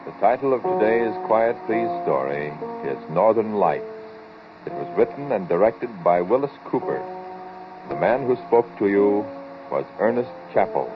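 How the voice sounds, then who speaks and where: A male announcer reads in classic 1940s network radio style, with a lowish voice and lively intonation. The old recording is dull, with music behind. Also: normal speed.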